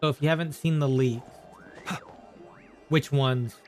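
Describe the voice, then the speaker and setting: A man talking casually to viewers as a game streamer, in a halting, conversational way, often repeating himself. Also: medium pitch, steady tone, a little mellow. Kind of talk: casual live stream chat while gaming. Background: faint game music.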